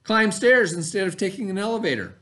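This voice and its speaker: A middle-aged American man, an elementary teacher, reading a lesson aloud to children in an expressive, instructional manner. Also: sits high. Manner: expressive, instructional, pauses to ask the listener questions. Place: small indoor classroom, at a desk.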